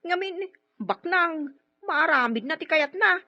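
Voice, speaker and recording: Put-upon female servant, Ilocano Filipino accent, slow-paced but wildly swooping delivery, exclaiming and muttering, for radio drama. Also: very high-pitched, animated, bright, forceful tone, dry recording, muffled recording, exaggerated voice. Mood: complaining, timid. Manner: exclaiming, wailing, muttering asides. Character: flustered, long-suffering female household maid, grumbling and deferential.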